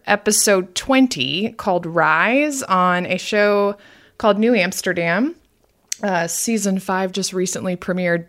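A woman co-hosts a relaxed, conversational podcast at a medium pitch. She explains things in a chatty way and laughs easily. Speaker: podcast host. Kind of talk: casual conversational podcast commentary.

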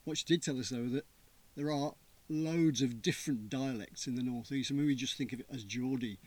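A man with a mid-range voice talks about his stage work. He explains things in a relaxed, casual way. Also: mid-range voice, fairly quick, slightly rough. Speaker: actor in a stage play. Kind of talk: casual interview chat.

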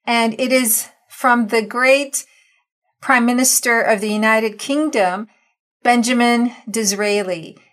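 A woman's voice: fairly high pitch, takes it slowly, a bit bright. A woman hosting an interview podcast, earnest and encouraging, reading her introductions and promos. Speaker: podcast host. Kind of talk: scripted intro then interview chat.

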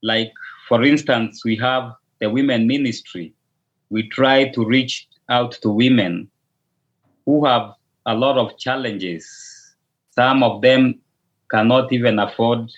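A Kenyan man and pastor, a native Luo speaker, answering interview questions hesitantly, often repeating and restarting words. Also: mid-range voice. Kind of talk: interview answers over a call. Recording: dull lo-fi audio.

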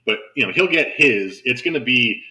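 A man's voice: medium pitch, expressive, moderate pace, pushed and bright, rough recording. A man hosting a sports show, chatting casually and enthusiastically as he analyses basketball. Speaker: sports podcast host and basketball broadcaster.

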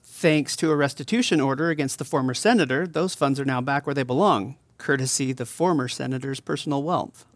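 A woman playing a gracious, modest director of a care center, speaking in a measured interview manner. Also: rumbling low, no room sound. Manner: courteous, measured. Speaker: interview guest. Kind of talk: scripted drama interview.

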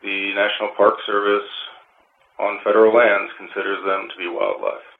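A man giving measured, formal explanations in an interview as a park resource official, with hesitant phrasing, on a narrow phone-like line. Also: on the low side, creaky.

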